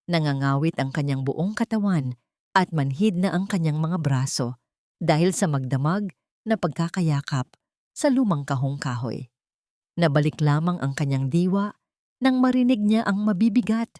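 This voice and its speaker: A woman narrates a scripted melodrama as an audio story. Her voice sits fairly low for a woman, and the recording is very dry and close. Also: warm tone, no echo.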